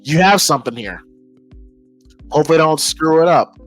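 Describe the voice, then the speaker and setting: American man, slightly high voice, podcast host, casual opinion talk, emphatic, informal, repeats himself, music underneath. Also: expressive, normal speed. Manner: informal, emphatic, repeats phrases as he thinks aloud.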